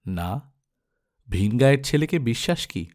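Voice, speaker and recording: A kindly physician from a storybook, read by a male narrator, speaks in a medium-pitched voice. He questions gently and with measured care, probing like a patient elder. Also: expressive, lightly acted.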